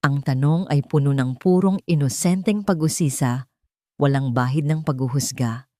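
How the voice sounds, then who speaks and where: Slightly low-voiced woman narrating romance drama. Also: moderate pace, dark tone, no room sound, clean studio sound.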